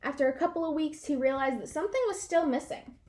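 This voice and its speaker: A woman reading a picture book aloud to children in an expressive storytelling style. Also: sits high, quick pace. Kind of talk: animated children's read-aloud narration.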